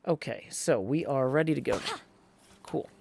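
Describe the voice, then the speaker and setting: A man's voice: mid-range voice, lively intonation, normal speed, gravelly, darkish tone, slight background noise. A man hosting a livestream with casual, rambling game commentary, addressing viewers and reading text aloud. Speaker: livestream host and gamer. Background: video game sound.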